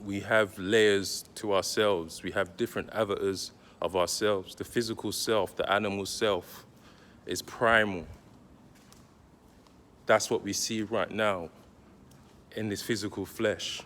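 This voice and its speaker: A man talking directly to his viewers, giving casual spiritual advice in a slow, deliberate, reflective way with pauses. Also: fairly low pitch, steady tone, a bit bright. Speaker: spiritual content creator.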